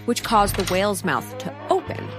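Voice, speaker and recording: A woman's voice: medium pitch, sing-song, normal speed. A woman narrating a comic audio drama from a script in a dramatic storytelling style. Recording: music under the narration. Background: music.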